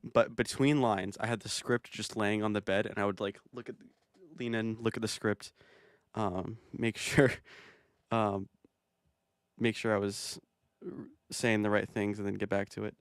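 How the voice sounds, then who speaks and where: A man talks through his own music video in a loose, rambling way, full of fillers and amused at his own jokes. His voice sits a little low. The sound is dry and close. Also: little pitch movement, unhurried, clean tone, no echo. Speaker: video creator.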